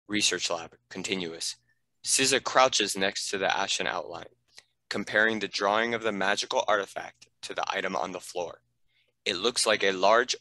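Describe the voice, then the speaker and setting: Male narrator for script directions, deep and crisp-edged, scripted delivery. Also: bright, forceful tone, no echo, low-quality recording. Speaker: narrator reading stage directions.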